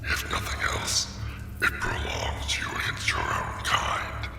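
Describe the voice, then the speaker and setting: A man voicing a huge, ancient golden dragon in a fantasy ASMR roleplay, haughty and possessive, speaking in a gravelly, breathy growl with imperious condescension. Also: slow, bright, forceful tone. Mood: haughty, disdainful. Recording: echoing cavern reverb, music and ambience behind. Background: dragon lair ambience.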